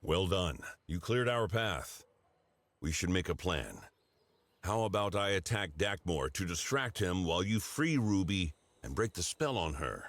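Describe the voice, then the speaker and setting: An actor playing a resolute, earnest ally in a fairy-tale adventure game, speaking firmly. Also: male voice, low voice, lively intonation, measured pace, dry recording. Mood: determined. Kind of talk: video game dialogue.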